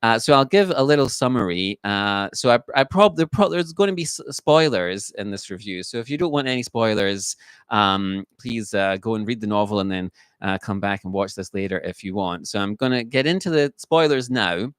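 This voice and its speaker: A man giving an unscripted book review in a halting way, full of fillers and restarts, a little croaky from a cold. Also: fairly low pitch, expressive, fairly bright tone, dry recording, studio quality. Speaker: book reviewer, channel host.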